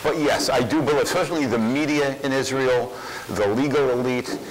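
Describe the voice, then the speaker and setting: A man's voice: medium pitch, normal speed, slight background noise, poor audio. A man speaking at a public event, answering audience questions in an emphatic way, repeating phrases for force.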